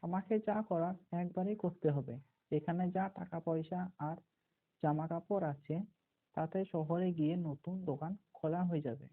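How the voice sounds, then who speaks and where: A man's voice: fairly high pitch, steady tone, normal speed. A man voicing a sly, scheming young shop assistant in a cartoon. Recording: narrow, phone-like muffled sound.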